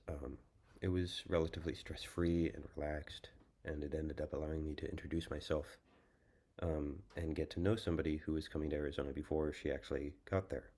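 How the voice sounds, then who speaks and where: Very deep-voiced young American man. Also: a little mellow. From United States. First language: English. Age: young adult. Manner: measured, thoughtful, with frequent ums. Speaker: student presenter. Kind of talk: prepared symposium presentation.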